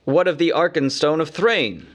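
A man reading an audiobook, voicing a steady, grave human leader who speaks firmly and formally. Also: on the high side, moderate pace, voice pushed up, close to an everyday voice.

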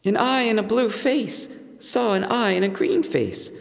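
A man's voice: high-pitched, vocal fry, in a large hall, voice pushed up, heavily acted. A man voicing a small, anxious hobbit who is polite and flustered in a storybook reading. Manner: quick, flustered, eager to please.

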